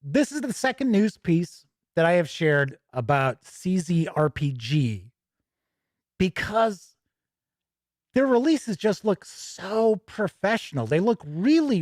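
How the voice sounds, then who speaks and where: A man's voice: a little high, no echo, studio quality. A man hosting a gaming news show, moving between chatty asides and emphatic, presenter-style reading. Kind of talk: chatty news presenting, reading product write-ups and answering viewer comments.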